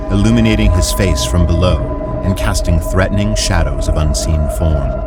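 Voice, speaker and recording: A man reading scripted, dramatic fantasy fiction as the narrator of an audio story. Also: sits low. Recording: music behind the voice. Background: loud music.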